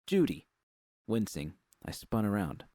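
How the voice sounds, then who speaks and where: A man's voice: low-pitched, expressive, moderate pace, creaky, darkish tone, no room sound, studio quality. A man reading scripted first-person fiction as an audiobook narrator.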